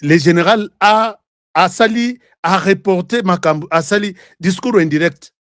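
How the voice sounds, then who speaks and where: A man's voice: high voice, moderate pace, a bit bright, dry recording, clean studio sound. A man with a Congolese French accent hosting a live online show, talking in heated, rambling political commentary and switching between languages.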